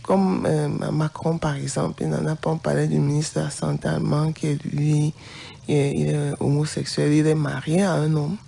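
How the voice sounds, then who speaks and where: Gravelly woman preaching with passion. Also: low voice, level tone, normal speed, warm tone. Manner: impassioned, indignant preaching tone. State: has the flu. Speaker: co-host, pastor's wife.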